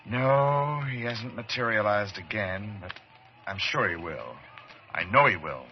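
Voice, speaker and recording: A man playing an obsessive, excitable ghost-hunting doctor in a radio horror drama, speaking in a theatrical, halting, fervent way. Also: fairly low pitch, faint music behind. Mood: scheming persuasion. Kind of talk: old time radio horror acting. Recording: dull, hissy old radio recording.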